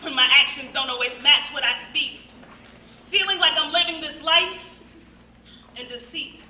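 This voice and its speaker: A young American woman performs spoken-word poetry on stage in an earnest, dramatic, rhythmic way with deliberate pauses. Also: sits high, pushed and bright. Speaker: monologue performer. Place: stage at a live show. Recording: echoey hall from far off, faint noise.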